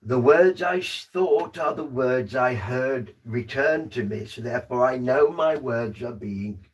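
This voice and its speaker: A man's voice: medium pitch, animated, measured pace, distant mic, close to an everyday voice, low-quality recording. A man in a trance voicing a formal, old-fashioned male spirit guide who speaks through him at a séance. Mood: amused. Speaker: trance medium. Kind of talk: seance trance communication.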